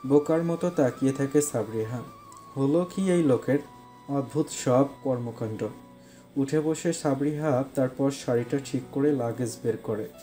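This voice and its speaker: Male narrator for romantic audio fiction: warm, mellow, mid-pitched storytelling over soft music.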